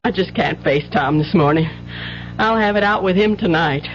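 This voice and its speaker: A woman's voice: fairly low pitch, normal speed, soft background music, natural voice. An actress playing a weary, hard-working, plain-spoken mother with a folksy manner in old-time radio drama. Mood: firm, upset. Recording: dull, noisy old radio recording.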